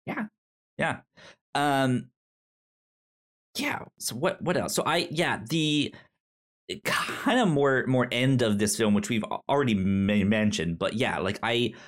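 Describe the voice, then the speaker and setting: A man's voice: medium pitch, expressive, takes it slowly, long pauses. A man co-hosting a podcast, chatting casually about film with a frequent stammer, repeating syllables and searching for words. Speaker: podcast co-host.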